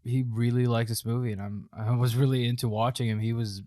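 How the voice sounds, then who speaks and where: Young dude co-hosting a movie chat, mid voice, blunt and low-key, dry recording. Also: male voice, level tone, brisk, dark tone, dry recording. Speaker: film review co-host. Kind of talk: casual opinion chat.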